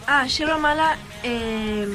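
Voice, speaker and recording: A woman's voice: high voice, takes it slowly, bright, forceful tone, faint music behind. A teenage female student, answering hesitantly and nervously. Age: teens. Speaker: student guest.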